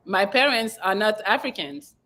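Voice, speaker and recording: A woman's voice: medium pitch, very animated, normal speed, crowd noise. Direct young woman from France. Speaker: dating show guest. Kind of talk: live dating show chat.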